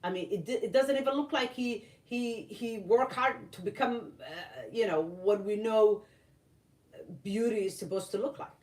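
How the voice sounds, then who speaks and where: Earnest, halting woman, off-mic. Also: medium pitch, a little mellow, far from the mic. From Somalia. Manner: earnest, halting, many fillers and restarts. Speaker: vlogger. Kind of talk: opinion commentary. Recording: roomy, voice from off-mic.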